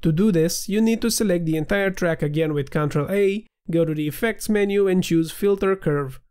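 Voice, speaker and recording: Man narrating a software tutorial. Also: high-pitched, normal speed. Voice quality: slightly nasal. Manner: clear, steady instructional delivery. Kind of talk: scripted screen-recording tutorial voiceover.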